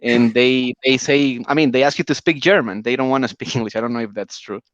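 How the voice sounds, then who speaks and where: Brazilian man at a language meetup, slow and measured, muffled dry recording. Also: mid-range voice, a bit bright, no echo. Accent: Brazilian Portuguese. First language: Portuguese. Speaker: language club member. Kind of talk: casual conversation practice.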